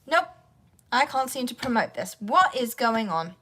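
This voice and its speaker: A high-voiced woman hosts her own livestream, hoarse and croaky from a sore throat. She rambles at an unhurried pace, talking half to her viewers and half to herself as she struggles with her devices. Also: sing-song, sharp tone. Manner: rambling, talks to herself while fighting tech. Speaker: voice actor hosting a livestream Q&A. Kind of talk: casual livestream chat.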